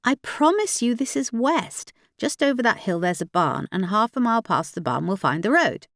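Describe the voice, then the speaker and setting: A woman's voice: fairly high pitch, very animated, moderate pace, a bit bright, no room sound, studio quality, pitched above the performer's own voice. Woman acting an insistent, defensive woman hiker.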